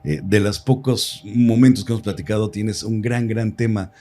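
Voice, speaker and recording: A man's voice: on the low side, fairly even intonation, normal speed, warm tone. A man hosting a podcast interview, introducing his guest with enthusiasm. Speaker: podcast host.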